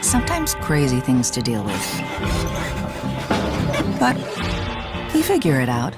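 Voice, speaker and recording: A woman's voice: a bass voice, wildly swooping pitch, takes it slowly, loud music behind the voice. A woman giving a scripted commercial narration.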